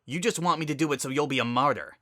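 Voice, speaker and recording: A man's voice: a little high, fast talker, no echo, studio quality, voice pushed up. A man playing a tough, hostile female pegasus pony, scornful and accusing, in a dramatic story reading. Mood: angry accusing. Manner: forceful, scornful.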